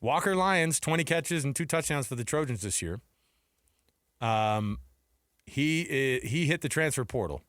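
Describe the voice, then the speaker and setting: A man's voice: medium pitch, expressive, moderate pace, fairly bright tone, dry recording, studio quality. An American man co-hosting sports talk radio, chatting casually and bluntly in slang, often tossing out questions. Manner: conversational, opinionated, slangy, throws out questions.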